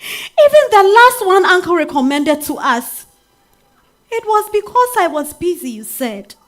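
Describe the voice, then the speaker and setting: A woman's voice: very high voice, very animated, unhurried, heavily acted. A woman with a Ghanaian accent playing a bitter, wounded wife in her thirties in a theatrical stage reading. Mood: bitter, anguished. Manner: theatrical, swinging from hushed to outcry. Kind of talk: dramatic stage monologue reading. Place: live stage at a literary festival.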